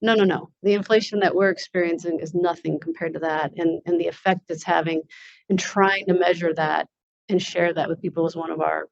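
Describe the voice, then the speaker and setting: A woman's voice: lowish voice, fairly even intonation, brisk, creaky. A woman hosting at a symposium, responding to participants in an informal, unscripted way. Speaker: symposium speaker, network meeting host. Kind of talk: informal panel discussion and answers. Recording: dull lo-fi audio.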